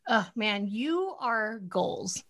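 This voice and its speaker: A woman's voice: fairly high pitch, very animated, drawn-out words, fairly bright tone. A woman hosting a podcast, interviewing her guest in an enthusiastic, teasing way. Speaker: podcast host. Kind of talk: casual interview.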